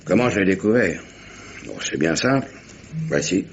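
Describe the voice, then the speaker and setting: Un acteur jouant un capitaine de navire marchand anglais, bourru et impatient, au parler direct et familier, dans une dramatique radio policière française. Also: male voice, low voice, expressive, normal speed. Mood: matter-of-fact recounting. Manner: blunt, colloquial, grumbling. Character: gruff, impatient male English merchant sea captain. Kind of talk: French radio mystery drama acting. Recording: dull, noisy vintage radio recording.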